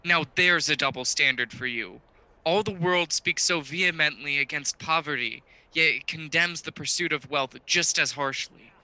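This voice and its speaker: A teenage boy playing a proud, defensive young businessman in a radio play, forceful and argumentative. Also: a little high, normal speed, dry recording, poor audio. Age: teens. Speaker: student actor.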